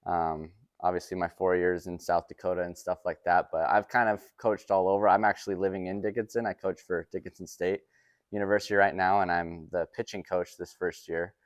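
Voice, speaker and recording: A man's voice: sits low, little pitch movement, a little fast, no echo, clean studio sound. A man in his twenties from Utah, a baseball coach answering interview questions casually. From Utah, United States.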